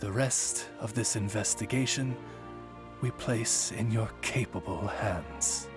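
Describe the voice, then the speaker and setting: Slow, courtly, loyal familiar. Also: male voice, on the low side, music in the background. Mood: courtly, composed. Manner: formal, eloquent, measured butler-like diction. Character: courtly, loyal talking familiar serving a young lady. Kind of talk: video game cutscene voice acting.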